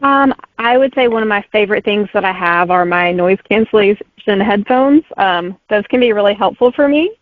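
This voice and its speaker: A woman's voice: medium pitch, moderate pace, hardly any pauses. Woman author guest, easygoing and laughy, tinny phone sound, no room echo. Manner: relaxed, laughs easily, friendly. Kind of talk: casual interview chat. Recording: dry, tinny phone-like line.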